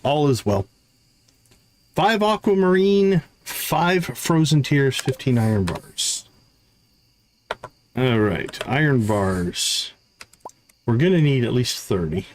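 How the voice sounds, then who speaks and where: A man's voice: medium pitch, a little hiss or hum. A man giving casual live gameplay commentary, thinking aloud as he counts off items and talks through his tasks. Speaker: live streamer, gaming host.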